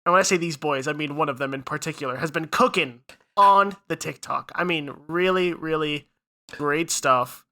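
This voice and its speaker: A man's voice: fairly high pitch, normal speed, pushed and bright. Sniffly man hosting a podcast. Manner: upbeat, runs the show with intros and plugs. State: head cold, sniffly. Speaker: podcast co-host. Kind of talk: casual podcast chat.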